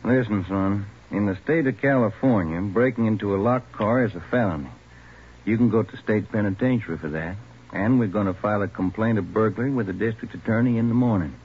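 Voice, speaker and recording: An actor playing a steady, needling detective in a 1940s radio crime drama, speaking firmly and plainly. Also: male voice, on the low side, brisk, slightly rough. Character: steady, needling male police detective partner. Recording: dull, noisy old radio recording.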